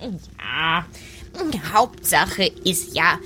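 A woman's voice: lowish voice, lively intonation, drawn-out words, sharp tone, a little hiss or hum. A woman improvising in character as an aunt in a tabletop role-playing game.

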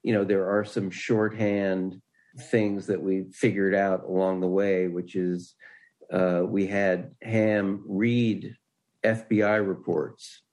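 Man, lowish pitch, halting, thoughtful, conversational interview guest. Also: little pitch movement, darkish tone. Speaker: podcast guest, writer.

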